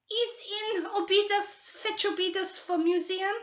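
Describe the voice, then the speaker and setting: A woman's voice: very high voice, fairly even intonation, measured pace, pitched above the performer's own voice. A woman voicing a stubborn old female housekeeper who answers in curt, broken phrases. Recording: roomy, slightly dull recording.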